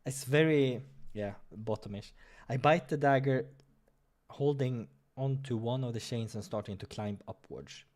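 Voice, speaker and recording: A man's voice: medium pitch, a little slow, darkish tone. A man reading a visual novel aloud as a let's player, dramatic with casual asides and the odd stumble, over music. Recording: music behind the voice.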